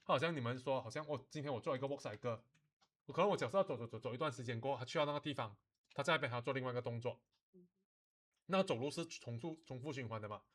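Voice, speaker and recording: A man teaching software informally, code-switching and often checking that students follow. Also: mid-range voice, racing. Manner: instructional, often checks understanding, uses particles like lah. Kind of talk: informal software tutorial mixing English and Mandarin.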